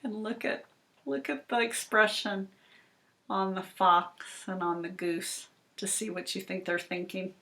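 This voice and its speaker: Bright-voiced woman, unhurried children's read-aloud. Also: medium pitch, steady tone, gravelly. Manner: explanatory, pausing, emphatic on key words. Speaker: school librarian.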